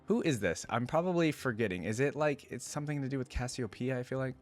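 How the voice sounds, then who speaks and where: A man's voice: mid-range voice, fast talker, faint music behind. A man hosting a reaction video, reading story lore aloud and breaking off for casual, slangy commentary. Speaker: YouTube reaction host.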